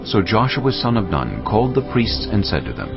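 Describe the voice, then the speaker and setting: Very deep, gravelly male Bible narrator. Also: very animated, moderate pace. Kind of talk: scripted narration of dramatized audio Bible. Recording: dull audio, music underneath.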